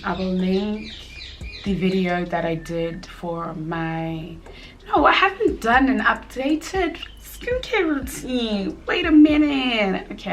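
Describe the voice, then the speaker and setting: A woman around thirty with a slightly husky voice, chatting casually at home while doing her makeup, rambling with frequent ums and likes. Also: mid-range voice, a little hiss or hum. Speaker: beauty vlogger and mother.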